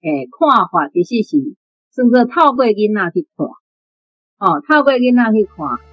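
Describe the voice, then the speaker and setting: A woman's voice: fairly high pitch, big pitch swings, normal speed, breathy edge, dry recording, highs cut off, faint music behind. A woman with a Taiwanese accent giving unscripted, explanatory commentary on literature as part of a radio programme. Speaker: radio programme guest or presenter discussing literature.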